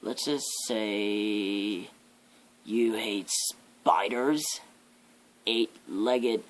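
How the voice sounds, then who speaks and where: Man playing a slow, menacing devil. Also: on the low side. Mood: taunting. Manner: ominous, drawn-out, theatrical delivery. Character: menacing devil, a living nightmare preaching fear and death. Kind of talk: slow ASMR horror roleplay monologue.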